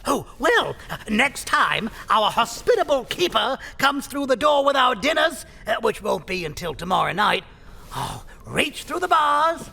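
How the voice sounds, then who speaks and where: A man playing a cheeky, dim old prisoner in an audio drama, stammering and chuckling as he rambles in a creaky, cackling voice. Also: very high-pitched, big pitch swings, unhurried, sharp tone, heavily acted. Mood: chuckling, scheming.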